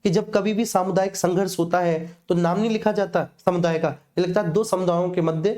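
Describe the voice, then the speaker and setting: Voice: medium pitch, fairly even intonation, a little fast, warm tone. A teacher giving an online exam-prep lesson, explaining to students, often repeating phrases and checking that they follow.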